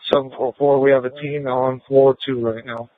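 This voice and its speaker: A man's voice: mid-range voice, flat delivery, moderate pace, no room sound. A male emergency responder giving status reports over a scanner radio. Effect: police radio. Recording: tinny narrowband police scanner radio audio.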